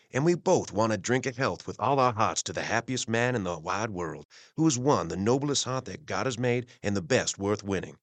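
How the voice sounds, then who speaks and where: An actor playing a hearty, gallant young gentleman adventurer, warm and earnest, reading in an audio drama. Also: medium pitch, no echo, lightly acted.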